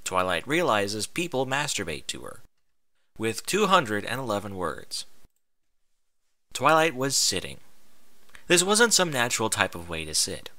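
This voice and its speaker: Male story reader, amateur fan fiction. Piercingly bright, animated, emphatic, dry sound. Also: on the low side, unhurried, pauses often, no room sound. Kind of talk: dramatic storybook narration with comic edge.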